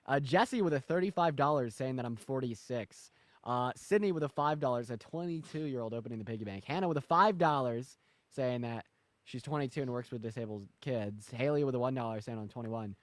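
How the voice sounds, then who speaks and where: A man's voice: medium pitch, brisk, no echo. A male streamer reading out donations and cheering donors, swearing freely when challenged. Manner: hype, shouts excitedly at big donations; defensive and profane when challenged. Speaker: streamer co-host. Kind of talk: live stream donation shoutouts.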